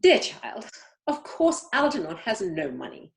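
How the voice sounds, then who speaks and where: A woman's voice: mid-range voice, wildly swooping pitch, unhurried, vocal fry, exaggerated voice. A woman playing a snobbish, imperious older upper-class aunt in an amateur play reading, haughty and emphatic, stumbling now and then. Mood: lofty, pronouncing. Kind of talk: amateur play read-through.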